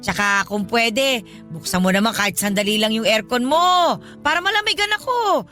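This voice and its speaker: Older freeloading godmother, high bright voice, pushy, wheedling; radio drama. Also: lively intonation, moderate pace, soft background music. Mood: demanding.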